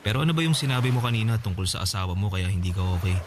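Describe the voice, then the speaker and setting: A man playing a mildly hurt but forgiving former boyfriend in a Tagalog radio drama, talking in a calm, reflective, conversational way. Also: fairly low pitch, very fast, background noise, close to an everyday voice. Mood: reflective, earnest. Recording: music bed behind the voice.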